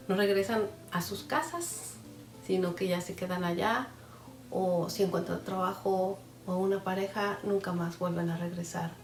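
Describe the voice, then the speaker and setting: Measured, thoughtful woman interviewee. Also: on the low side, recorded from a distance. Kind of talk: reflective interview answers. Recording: echoey room, off-mic.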